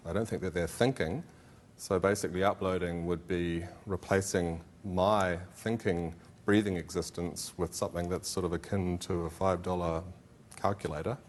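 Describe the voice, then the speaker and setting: Low-voiced man giving a hedging academic talk. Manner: conversational, hedging with many fillers and restarts. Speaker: philosopher giving a conference talk. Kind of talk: academic conference presentation.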